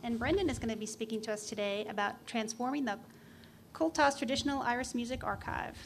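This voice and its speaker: A woman with a fairly high voice chairs a conference session and reads a prepared introduction for the next speaker. Faint background noise sits under her voice, and she speaks formally. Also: a little fast, gravelly.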